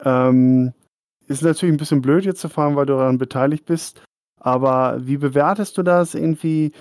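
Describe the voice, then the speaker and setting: A man's voice: a little high, moderate pace, darkish tone, no room sound, studio quality. A man hosting a casual hobby podcast, chatty and rambling, often laughing. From Germany. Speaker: podcast host. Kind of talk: casual chat.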